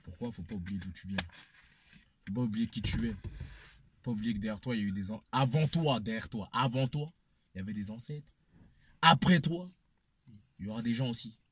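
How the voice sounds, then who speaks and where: A man's voice: fairly low pitch, a little fast, long pauses, breathy edge. A man, giving his opinion emphatically in a casual debate and repeating phrases for effect. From Congolese descent. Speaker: panel speaker.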